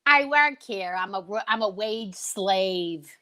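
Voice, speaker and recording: A woman's voice: mid-range voice, expressive, takes it slowly, pushed and bright, pitched below the performer's own voice. A woman playing a worried department store advertising woman in 1940s radio acting. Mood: worried, urgent.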